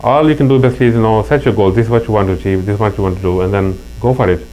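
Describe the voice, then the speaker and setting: A man's voice: on the low side, steady tone, very fast, darkish tone, poor audio. A middle-aged man from Pakistan with a Pakistani accent, telling stories in an earnest interview chat. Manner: earnest, anecdotal, with frequent restarts. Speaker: interview guest. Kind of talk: casual interview talk. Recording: faint mains hum.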